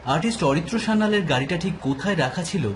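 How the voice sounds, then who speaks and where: A man's voice: a little high, animated, quick pace, slight background noise. A man narrating a scripted detective story as an audio drama narrator.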